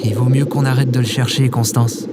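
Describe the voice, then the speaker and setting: A man's voice: mid-range voice, fairly even intonation, a little fast, loud music behind the voice, natural voice. A man playing an anxious, well-meaning cat owner in an audio drama, informal and sheepish. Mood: exasperated. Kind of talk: casual audio drama dialogue.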